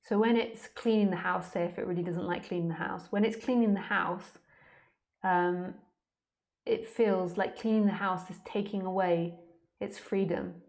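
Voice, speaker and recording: A woman with a fairly low voice gives an informal spiritual talk, answering questions as a teacher. She speaks candidly and plainly, in a conversational way that feels unscripted. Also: steady tone, moderate pace, darkish tone.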